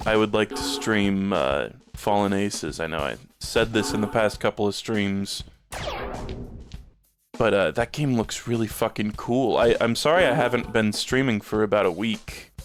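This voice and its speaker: Low-voiced, slightly phlegmy male streamer. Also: unhurried, soft background music. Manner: rambling, swears casually, lots of fillers. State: a little phlegmy. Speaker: game streamer. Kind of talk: casual gaming stream chat.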